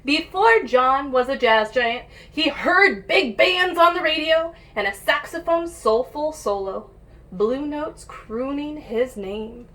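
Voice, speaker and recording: A woman's voice: sits high, lively intonation, slow pace, a bit bright. A woman teacher reading a picture book aloud to young pupils, addressing them directly and encouragingly with questions and asides, on a home-made recording.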